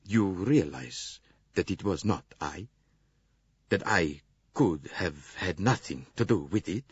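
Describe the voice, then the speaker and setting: A man voicing a big blustering Italian chauffeur who protests loudly. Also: low-pitched, animated, slow pace, slightly rough, no echo, full character voice. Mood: defensive. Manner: loud, protesting, then softening. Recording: dull lo-fi recording.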